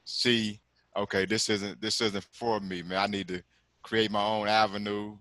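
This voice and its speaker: A middle-aged man from Texas speaks as a guest over a video call. His voice is low but bright and forceful. He talks in a loose, rambling way, tossing in "man" and "you know what I'm saying." The sound is close and dry. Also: little pitch movement, measured pace, dry recording. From Galveston, Texas. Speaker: guest, commercial lender.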